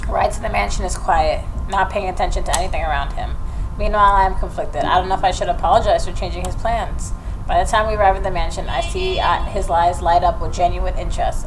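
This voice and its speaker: A woman hosting a gaming video, reading the story narration aloud and adding expressive reactions of her own. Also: on the low side. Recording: noticeable background noise.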